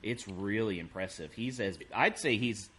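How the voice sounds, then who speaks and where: A man from Texas with a fairly low voice, chatting over a video call. He sounds easygoing and keen as he talks about his team. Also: normal speed, a bit bright, a little hiss or hum. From Texas, USA. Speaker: guest fan. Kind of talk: casual interview chat.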